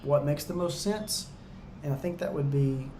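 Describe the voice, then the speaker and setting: A man tutoring viewers through real estate exam questions in a casual, chatty way, joking and going a bit hoarse. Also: fairly high pitch, normal speed, mellow, echoey room, slight background noise. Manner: chatty, talks straight to viewers, jokey asides. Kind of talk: casual practice-exam walkthrough.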